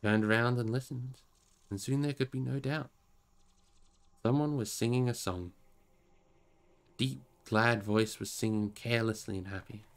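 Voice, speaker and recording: A man narrating a fantasy story in an expressive audiobook style. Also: mid-range voice, moderate pace, long pauses. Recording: music behind the voice.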